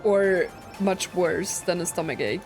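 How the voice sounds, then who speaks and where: A woman's voice: lowish voice, measured pace. A woman streaming a video game with casual commentary, thinking aloud and reading on-screen text out. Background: video game music and dialogue.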